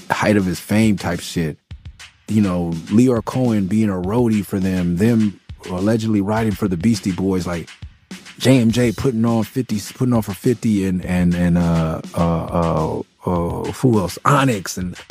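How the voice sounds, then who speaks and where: A man from Los Angeles with a nasal voice, hosting a podcast and telling loose, profane stories about making his album. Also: sits low. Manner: loose, profane, animated retelling with quoted voices. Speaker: podcast host and rapper.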